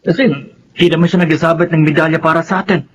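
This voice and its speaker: Man acting a high-pitched resentful Filipino teen son. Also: level tone, fast, muffled recording, slight background noise, close to an everyday voice. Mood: bitter. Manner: confrontational, aggrieved. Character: resentful, protective young student son. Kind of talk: Filipino radio drama acting.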